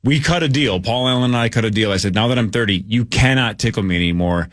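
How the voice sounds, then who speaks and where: A man in his forties hosting a sports radio show, joking and teasing his guest in quick banter. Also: mid-range voice, a bit bright. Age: 40s. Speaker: radio host. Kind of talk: casual sports radio chat.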